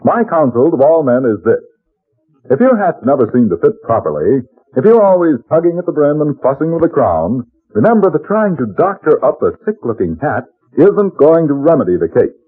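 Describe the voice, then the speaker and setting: A jovial old-time radio announcer selling goods. His husky, mid-pitched voice rises and falls hugely with folksy polish, dry and narrow on a vintage recording. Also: sing-song, normal speed, no echo. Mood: jovial salesmanship.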